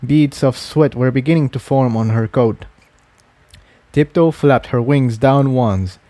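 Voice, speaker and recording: A man's voice: mid-range voice, measured pace, mellow, dry recording. A man reading a fantasy adventure story aloud as its narrator, in scripted narration. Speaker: narrator, fan fiction reader.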